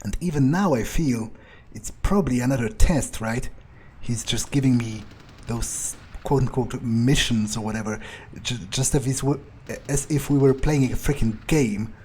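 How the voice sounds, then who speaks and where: A man playing a haughty, irritable fledgling vampire in an in-character video game playthrough, grumbling in a halting, self-important way. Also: medium pitch, darkish tone, a little hiss or hum, natural voice. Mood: suspicious, annoyed. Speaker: gamer roleplaying his character. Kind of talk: in-character let's play monologue.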